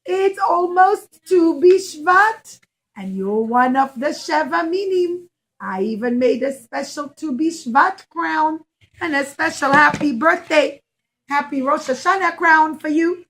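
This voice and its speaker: A woman voicing an excited, caring young girl in a puppet show, speaking earnestly to small children. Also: sits high, takes it slowly, full character voice, poor audio. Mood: concerned, pleading.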